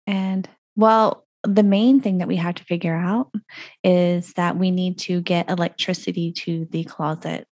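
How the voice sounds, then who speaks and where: Woman podcast co-host, lowish voice, rambling casual chat with frequent laughs, dry video-call sound. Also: steady tone, unhurried, a little mellow, no room sound. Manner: rambling, laughs often, trails off. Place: home recording closet over a video call. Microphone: video call audio.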